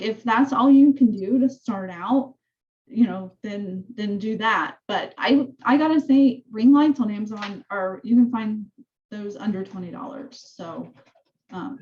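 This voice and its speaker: A woman with a soft voice, casually walking her real estate team through training tools on a call. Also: medium pitch, unhurried, muffled recording. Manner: chatty, rambling explanations. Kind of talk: casual team meeting walkthrough.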